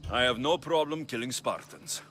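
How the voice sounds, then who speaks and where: An actor playing a blunt, skeptical Greek mercenary in video game dialogue, with a dry, challenging manner. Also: male voice, mid-range voice, moderate pace, fairly bright tone, slight background noise, close to an everyday voice. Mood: businesslike.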